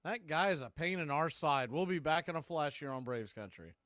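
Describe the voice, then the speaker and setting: A man calling a baseball game live in radio play-by-play style, in short clipped phrases between pitches. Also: lively intonation, fairly quick, fairly bright tone, no room sound, clean studio sound. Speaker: play-by-play baseball announcer and stream host.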